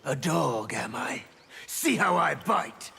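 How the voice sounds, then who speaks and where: A man playing a fierce, savage soldier loyal to his warlord in a fighting game, with a raspy growl. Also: on the high side, sing-song, slow pace, sharp tone, exaggerated voice. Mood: menacing loyalty. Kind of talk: video game fight intro banter. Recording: long hall reverb.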